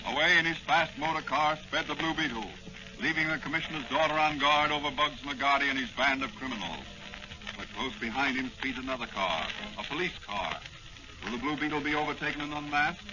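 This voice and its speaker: An actor playing an urgent, suspenseful announcer narrating a 1940s radio serial. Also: male voice, on the high side, a little fast, lightly acted. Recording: dull, noisy old radio recording.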